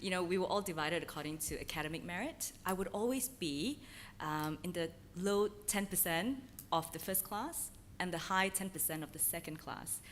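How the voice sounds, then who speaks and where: A woman's voice: fairly low pitch, normal speed, a little hiss or hum. A woman from Malaysia with a slight American accent, giving a candid, self-deprecating, unscripted talk on a conference panel. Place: conference hall.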